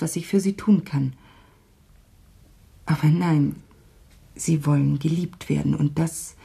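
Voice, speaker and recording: An actress playing a frank, tender lady of old France in a radio play, speaking in a measured, heartfelt way. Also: sits low, gravelly, warm tone, breathy edge, heavily acted.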